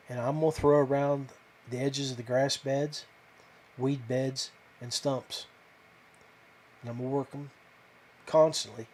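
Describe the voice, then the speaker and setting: A man hosting a podcast, talking casually and off the cuff to viewers, with hesitant pauses and a modest, self-deprecating manner. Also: mid-range voice, darkish tone. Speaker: podcast host. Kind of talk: casual fishing talk to viewers.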